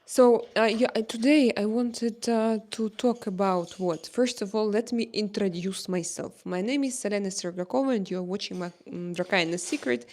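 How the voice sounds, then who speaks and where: A woman's voice: medium pitch, normal speed, hardly any pauses, darkish tone. A young woman with a Russian accent chats on her live stream and speaks to viewers by name. Her English is non-native, loose and full of fillers. She sounds easy and talkative. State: just back from a workout. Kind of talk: casual live chat reading viewer comments.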